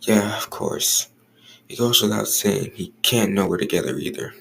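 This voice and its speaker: A man playing a stern, jealous, irritable office boss in an audio roleplay, curt and guarded, with a gravelly voice. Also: on the low side, moderate pace, a bit bright, a little hiss or hum, rough recording. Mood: exasperated, protective. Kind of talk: scripted audio roleplay acting.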